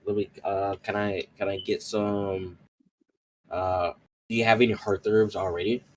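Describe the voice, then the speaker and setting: A man with a low voice chats casually as a player in a tabletop game. He is hesitant and thinks out loud, over faint background noise. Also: flat delivery, measured pace, low-quality recording. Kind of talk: casual chat during a game.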